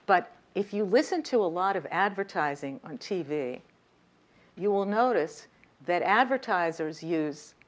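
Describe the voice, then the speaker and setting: Lively woman lecturing an audience. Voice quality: voice a little high, by her own account. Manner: lively, asks the audience questions. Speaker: communication workshop speaker. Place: lecture room.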